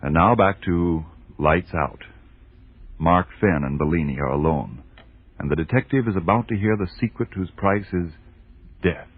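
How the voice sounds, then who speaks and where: A man announcing in scripted 1940s radio style, with deliberate, emphatic salesmanship. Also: low-pitched, noisy background. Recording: tinny, band-limited old radio recording.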